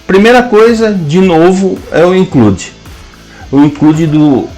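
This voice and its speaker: A man with a Brazilian Portuguese accent teaching a programming lesson, dictating code symbol by symbol with hesitant pauses. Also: high voice, lively intonation, darkish tone, faint music behind, poor audio. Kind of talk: slow screen-cast coding lesson, dictating code aloud.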